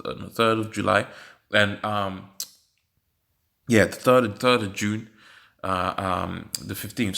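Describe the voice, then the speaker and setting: A British man, sniffly from hay fever, commenting on a news article with a religious slant, halting with many fillers and emphatic rhetorical questions. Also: lowish voice, vocal fry, fairly bright tone.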